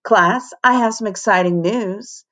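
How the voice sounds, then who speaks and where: A woman's voice: mid-range voice, unhurried, a bit bright, natural voice. A woman voicing an enthusiastic, proud male science teacher in a storybook, formally announcing things with eagerness. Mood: eager.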